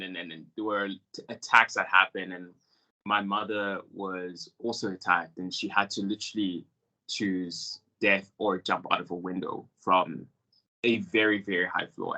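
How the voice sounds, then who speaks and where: A man's voice: lowish voice, pushed and bright, low-quality recording. A young man and cast member, talking earnestly and reflectively about his family in a panel discussion, with many fillers. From South Africa.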